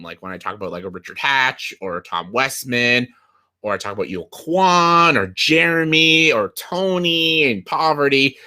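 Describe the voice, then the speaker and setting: A young man hosting a casual list video, a little hoarse from losing his voice, rambling with many fillers and restarts. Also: medium pitch, pushed and bright. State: slightly hoarse.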